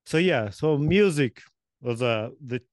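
A man's voice: medium pitch, expressive, takes it slowly, no room sound, clean studio sound. A man hosting a podcast interview over a video call, rambling and correcting himself with light chuckles. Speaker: podcast host. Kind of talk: casual interview chat.

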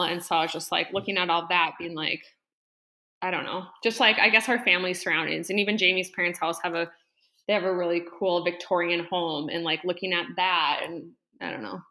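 A woman guesting on a podcast, chatting casually and rambling with lots of fillers and quick laughs. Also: on the low side, sharp tone. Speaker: podcast guest, interior design business owner. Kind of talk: casual interview chat.

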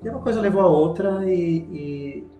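Man, middle-aged, halting and reflective, roomy echo, music bed underneath. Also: high-pitched. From Sweden. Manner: thoughtful, halting, many restarts and fillers. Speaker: guest, futurist. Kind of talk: conversational interview answer.

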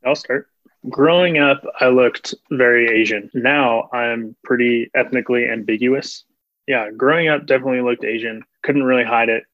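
A man's voice: medium pitch, steady tone, normal speed, no echo. A young man speaking as a panel guest, earnest and open about personal things. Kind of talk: casual reflective discussion. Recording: dull dry recording.

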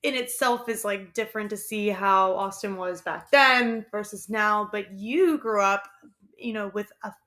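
Woman from texas, mid pitch, relaxed podcast host, casual filler-heavy talk. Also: a little slow.

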